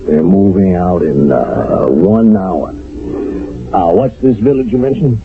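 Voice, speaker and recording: A harried army captain in a radio drama. His low, gravelly voice deliberates haltingly yet keeps its authority, through a muffled old radio recording. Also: music in the background. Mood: resigned, puzzled. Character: hesitant, harried army captain, resigned to orders.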